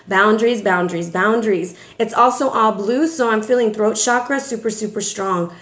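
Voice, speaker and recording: A woman giving a casual, sassy tarot forecast, chatty and playful, a little hoarse from recovering from bronchitis. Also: medium pitch, normal speed, a bit bright. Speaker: tarot reader. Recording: echoey room.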